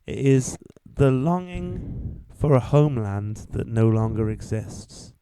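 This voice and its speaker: Man, 20s, podcast co-host, brisk and chatty, mid pitch, noisy track. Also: animated, warm tone. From Wales. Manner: brisk, chatty, steers the conversation. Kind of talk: casual chat.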